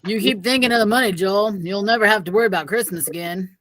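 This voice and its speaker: A woman's voice: medium pitch, fast, fairly bright tone, no echo, close to an everyday voice, rough recording. A woman reading the part of a callous, money-minded male kidnapper in a children's story, dismissive and persuasive.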